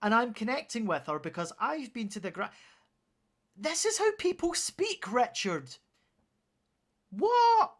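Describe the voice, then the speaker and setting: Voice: medium pitch, big pitch swings, unhurried, long pauses. A streamer giving sarcastic, sweary reactions while playing a video game.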